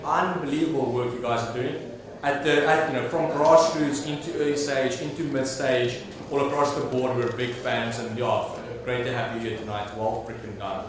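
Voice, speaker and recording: South African emcee in an echoey hall. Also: male voice, mid-range voice, fairly even intonation, moderate pace, hardly any pauses. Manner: slangy, enthusiastic, casual swearing. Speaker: awards host. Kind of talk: lively stage emceeing. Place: large event hall with audience. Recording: very echoey hall with crowd noise.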